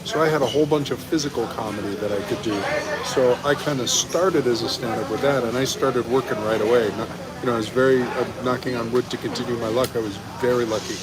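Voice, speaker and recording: A man's voice: medium pitch, fairly even intonation, fast, low-quality recording. A middle-aged man, a comedian, telling anecdotes casually and often restarting his phrases. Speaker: stand-up comedian guest. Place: restaurant interior. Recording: busy venue with crowd and background bustle.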